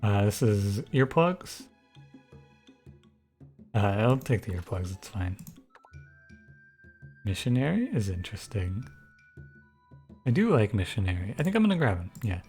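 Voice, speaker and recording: A man with a soft, soothing voice giving relaxed, thinking-aloud commentary over a strategy game. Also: a little slow, pauses often, soft background music. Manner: low-key, musing, thinks aloud with frequent restarts. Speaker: gaming YouTuber and streamer. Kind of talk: casual gameplay commentary.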